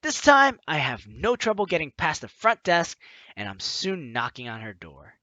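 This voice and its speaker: A man's voice: on the high side, wildly swooping pitch, normal speed, bright, forceful tone, dry recording. A man hosting a let's play, expressively reading a story game's text aloud and reacting with enthusiasm. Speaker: gaming video host.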